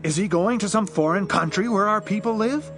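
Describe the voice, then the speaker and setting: A man's voice: high voice, fairly quick, fairly bright tone, faint music behind. A man playing a baffled, scornful religious leader in an audio Bible drama.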